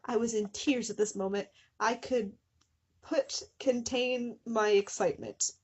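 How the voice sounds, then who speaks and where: A young woman with a slightly husky voice reads aloud in a casual, comedic way. She sounds amused by the material and keeps interrupting herself with wry, mock-suffering asides. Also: on the high side, fairly even intonation, a little slow, a little mellow. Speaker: host of a small channel. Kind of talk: casual comedic dramatic reading of fan fiction with asides.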